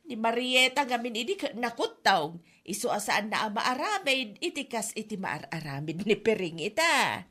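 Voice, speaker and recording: Gossipy female friend, highish and very animated, Ilocano accent, teasing comedy audio drama delivery played by a woman. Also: normal speed, exaggerated voice. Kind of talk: comedy drama podcast acting.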